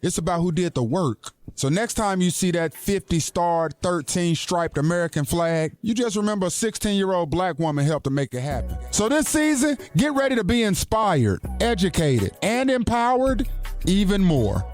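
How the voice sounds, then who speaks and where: A woman's voice: on the low side, normal speed. A woman reading a scripted podcast promo in an upbeat, enthusiastic announcer style. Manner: animated, enthusiastic. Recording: promo with faint music bed.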